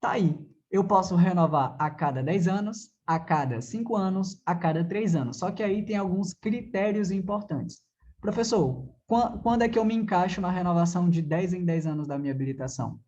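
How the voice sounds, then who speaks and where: A man's voice: sits high, normal speed, a little mellow. Brazilian man teaching, slightly muffled audio. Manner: didactic, asks and answers own questions, frequent check-in tags. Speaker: driving theory teacher. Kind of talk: conversational classroom lecture.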